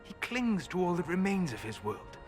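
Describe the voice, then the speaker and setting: A calm, reserved elven mage. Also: male voice, high voice, normal speed. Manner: calm, soft and reasoning. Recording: distant, long hall reverb. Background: faint music.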